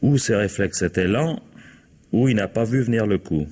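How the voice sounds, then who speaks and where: A sardonic male police captain with a low voice. He muses aloud and needles people with mock politeness. The delivery is that of an old French radio mystery. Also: animated, normal speed, dark tone. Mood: wry, musing. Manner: ironic, mock-polite, musing. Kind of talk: old French radio crime drama acting.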